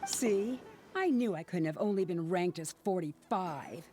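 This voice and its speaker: A woman's voice: on the low side, animated, a little slow. An actress playing a resentful, impatient young woman in a video game, curt and dismissive, with music behind. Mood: irritated.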